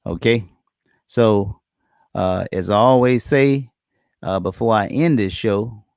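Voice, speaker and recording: Guy hosting a live stream talk show, kinda low voice, getting hoarse, lots of uhs, dry sound. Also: darkish tone, no echo. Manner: loose, halting, with many ums and uhs. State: losing his voice, clearing throat. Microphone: computer or phone on internet stream.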